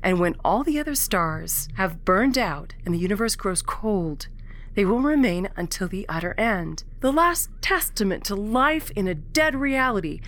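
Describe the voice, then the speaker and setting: A woman's voice: mid-range voice, lively intonation, normal speed, fairly bright tone. A woman playing a wry, philosophical rebel prisoner in a science fiction audio drama, with a lofty, theatrical, teasing manner. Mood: reverent awe. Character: wry, world-weary alien rebel prisoner, grandly philosophical.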